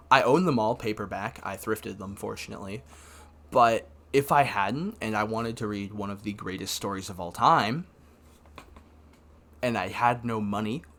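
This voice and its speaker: A man's voice: on the low side, vocal fry, fairly bright tone. A young man of about nineteen from Canada, talking casually to camera in a rambling way full of fillers and restarts. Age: young adult, about 19. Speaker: vlogger.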